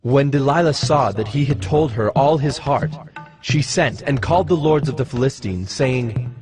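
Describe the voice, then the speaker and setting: A man's voice: mid-range voice, normal speed, a little mellow. A man giving scripted narration for a dramatized scripture recording, with music behind him.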